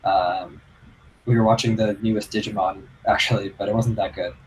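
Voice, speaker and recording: A man's voice: fairly low pitch, fairly even intonation, moderate pace, low-quality recording. A man from Toronto, a band member, chatting casually and rambling with many fillers. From Toronto, Canada. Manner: rambling, lots of "like" and restarts. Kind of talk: casual livestream chat.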